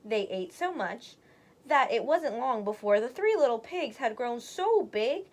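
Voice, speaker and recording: A woman storyteller narrating a children's read-aloud in an expressive, deliberate way. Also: fairly high pitch.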